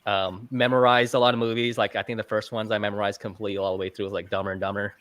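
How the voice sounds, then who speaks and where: A man's voice: lowish voice, level tone, racing, a bit bright, dry recording. A man guesting on a livestream interview, talking casually about his filming work with lots of fillers and self-deprecating jokes. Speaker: guest, fish channel videographer. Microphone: video call mic.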